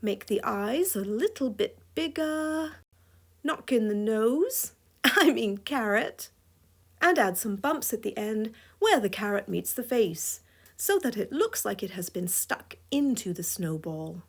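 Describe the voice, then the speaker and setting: A woman's voice: on the high side, expressive, slow pace. A woman with a scratchy voice giving a drawing tutorial voice-over, playful and chuckling often. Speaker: drawing instructor.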